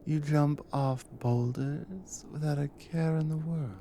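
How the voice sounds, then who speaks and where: Woman playing a low, slow, tender warrior wife. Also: fairly even intonation, a little hiss or hum. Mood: tender, curious. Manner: soft, breathy, hushed murmur with unhurried pauses. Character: devoted dominant Nordic warrior wife, tender and teasing adventurer. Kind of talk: intimate ASMR roleplay audio.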